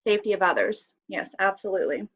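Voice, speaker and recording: Woman instructor, sniffly from a cold, mid pitch, lecturing with fillers over a slightly muffled call. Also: fast, a bit bright, slightly rough. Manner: asks students questions, many fillers. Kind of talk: online class lecture.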